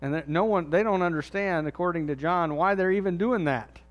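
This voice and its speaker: High-voiced man preaching conversationally. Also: a little fast, highs cut off. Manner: conversational preaching, drops low and soft on key phrases. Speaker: pastor. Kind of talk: sermon.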